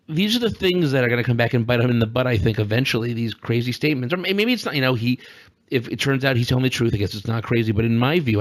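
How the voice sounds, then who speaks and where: A man co-hosting a podcast with casual, joking political commentary full of teasing asides. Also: medium pitch. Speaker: podcast co-host.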